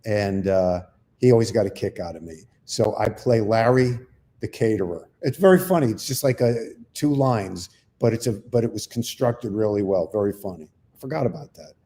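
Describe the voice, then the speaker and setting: A man's voice: on the low side, expressive, normal speed, mellow. An older man, a comedian co-hosting, telling rambling, self-deprecating stories in casual chat. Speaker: comedian co-host.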